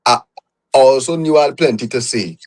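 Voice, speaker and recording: A man's voice: medium pitch, normal speed. A young man with a Trinidadian accent, a podcast guest reflecting on his career in a casual interview, often adding "you know".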